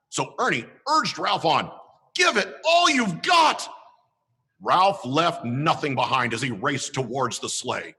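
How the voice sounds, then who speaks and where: Santa storyteller for children, unhurried and bright, expressive reading. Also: male voice, gravelly, breathy edge, in a large hall. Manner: slow, highly expressive.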